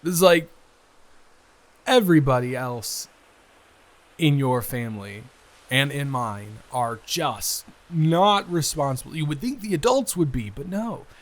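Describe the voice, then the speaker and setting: A man's voice: a little high, expressive, unhurried. Man playing a musing, hesitant eldest brother. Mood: musing, mildly frustrated. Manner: conversational, hesitant, rambling. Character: responsible eldest son, friend's brother, musing and wry. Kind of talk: improvised intimate audio roleplay.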